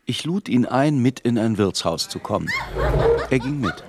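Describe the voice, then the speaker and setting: A man's voice: mid-range voice, animated, normal speed, very noisy, lightly acted. An actor narrating as a reflective, melancholy man looking back on his youth. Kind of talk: scripted first-person literary narration.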